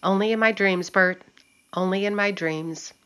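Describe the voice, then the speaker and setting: A woman's voice: mid-range voice, normal speed, fairly bright tone, lightly acted. A woman playing a sorrowful, yearning small-town wife in a radio play, plaintive and tender.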